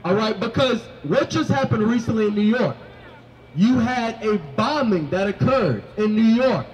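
A young man preaching on a city sidewalk into a handheld microphone, commanding and questioning his listeners, often rising to shouts. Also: sits high, expressive, moderate pace, lo-fi sound, slight background noise. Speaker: street preacher. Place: city sidewalk by a food cart.

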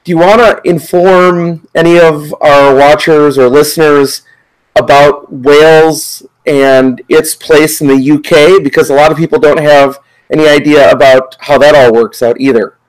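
A man's voice: fairly high pitch, steady tone, a little slow, poor audio. A middle-aged man hosting a podcast, asking a guest long, open questions over a video call with earphones. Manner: curious, asks rambling open questions. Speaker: podcast host. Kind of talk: casual interview questions. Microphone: earphone headset on video call.

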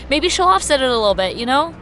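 A woman's voice: high voice, very animated, fairly quick, background noise. A woman playing a determined young heroine in a horror audio drama, earnest and insistent.

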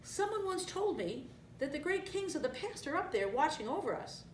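A woman's voice: high-pitched, very animated, normal speed, recorded from a distance, a little hiss or hum, pitched above the performer's own voice. A woman voicing a troubled young male lion in a storybook, guarded and defensive, in a roomy recording. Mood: quiet, guarded. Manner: defensive, subdued then indignant.

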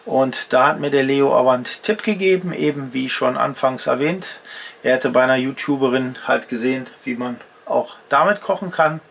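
A man's voice: medium pitch, moderate pace, creaky, a bit bright. A man in his fifties hosting a casual gear vlog from his home workshop, chatting to viewers and explaining each step as he shows it. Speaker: outdoor gear channel host. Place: indoor home workshop at a workbench.

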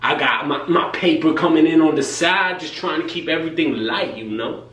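A man's voice: fairly high pitch, normal speed, fairly bright tone, lightly acted. A young man playing a delusional, self-styled tough hustler, talking in street slang with self-importance. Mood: self-important swagger. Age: young adult. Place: small home kitchen. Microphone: camera a few feet away. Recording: roomy, distant camera sound, faint hum.

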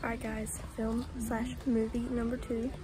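A woman's voice: a little high, level tone, measured pace, poor audio. A teenage girl talking casually to her phone camera for a family vlog, full of "like" fillers. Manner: chatty, many "like" fillers. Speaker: vlogger. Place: museum and theater, indoors. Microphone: phone at arm's length.

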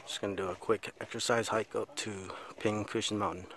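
A man's voice: on the low side, level tone, brisk. A man in his thirties talking casually to an action camera while fishing by a river and hiking a hill trail. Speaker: fishing vlogger. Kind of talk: casual outdoor vlog. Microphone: action camera, chest-mounted and held at arm's length. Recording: loud wind and water noise outdoors.

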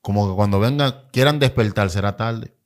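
Dominican podcast host, man, fairly low voice, prompting questions into a studio mic. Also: fairly quick. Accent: Dominican Spanish. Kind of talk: podcast conversation. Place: small studio set. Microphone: studio mic on boom arm.

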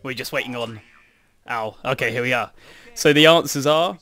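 A man's voice: mid-range voice, moderate pace, pushed and bright, faint music behind. A young man reading quiz prompts aloud and joking with friends during a party game. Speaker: game host reading questions. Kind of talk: casual gaming chat.

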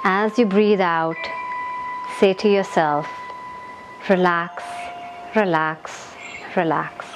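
A woman leading a guided meditation in a calm, gentle, soothing manner, giving instructions in short phrases with long pauses. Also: on the low side, noisy background.

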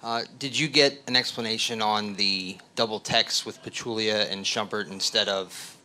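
A man working as a sports reporter, asking halting questions at a press conference. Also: fairly low pitch, fairly even intonation, bright, forceful tone. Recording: room noise behind voice.

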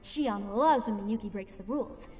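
A woman's voice: mid-range voice, moderate pace, a little mellow, long reverb tail, soft background music, lightly acted. A woman giving wry, matter-of-fact narration of a student council meeting in a fan dub.

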